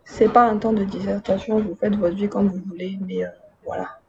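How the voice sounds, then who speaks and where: Woman talking to camera, warm mellow voice, chatty and wry, slangy asides. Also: medium pitch, steady tone, normal speed, vocal fry, highs cut off, slight background noise. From Madagascar, raised in Côte d'Ivoire and France. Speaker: video essayist. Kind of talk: casual spoken commentary.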